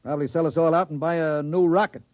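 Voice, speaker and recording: A gruff, weary baseball manager, acted by a man in 1950s radio drama style. His voice sits fairly high for a man, and he grumbles in slangy phrases. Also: fairly even intonation, fast, a little mellow, no room sound, muffled recording, lightly acted. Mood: glum, resigned.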